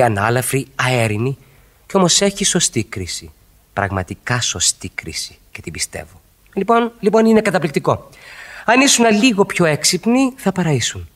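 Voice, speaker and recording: An ardent, swooping middle-aged flatterer. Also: male voice, medium pitch. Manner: ardent, theatrical, repeats phrases for effect. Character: smitten married man, effusively flattering his female friend. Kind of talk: radio drama acting.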